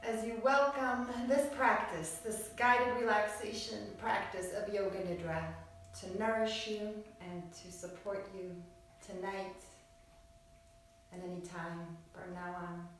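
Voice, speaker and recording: A woman's voice: mid-range voice, fairly even intonation, a little hiss or hum. Echoey, distant woman guiding a relaxation. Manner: soothing, unhurried, short phrases with long pauses. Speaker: yoga nidra teacher. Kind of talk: guided relaxation meditation. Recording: very echoey room, distant mic, music behind. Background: music.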